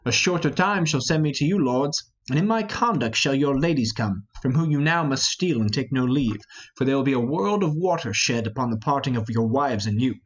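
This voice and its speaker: A man's voice: mid-range voice, animated, brisk, slightly rough, dull audio, pitched below the performer's own voice. A man playing a proud, boastful mystic lord in a Shakespearean play reading, declaiming grandly.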